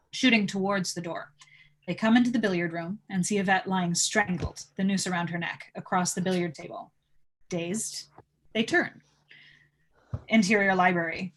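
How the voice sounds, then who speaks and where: A woman's voice: medium pitch, low-quality recording. Woman reading screenplay stage directions. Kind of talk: scripted table-read narration.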